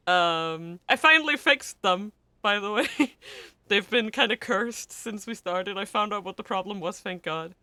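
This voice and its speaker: A young woman streamer who speaks Danish natively, reading a visual novel aloud and chatting with viewers, giggly and excitable with sudden squeals. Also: medium pitch, slow pace, no echo. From Denmark.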